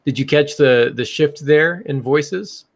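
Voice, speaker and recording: A man's voice: on the high side, a little slow, dry recording, studio quality. Man explaining on a podcast. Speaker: podcast host and writing teacher. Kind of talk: casual podcast discussion.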